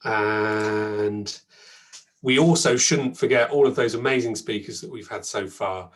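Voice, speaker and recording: A man's voice: on the low side, measured pace. A man hosting an online event, chatty and thankful, with frequent ums and restarts. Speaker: event host.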